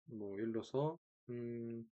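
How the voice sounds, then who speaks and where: A man's voice: mid-range voice, takes it slowly, dark tone. A man presenting an informal screen-share tutorial, thinking aloud in short fragments and repeating key words, recorded with dull sound. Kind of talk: informal screen-share demo, talking through steps.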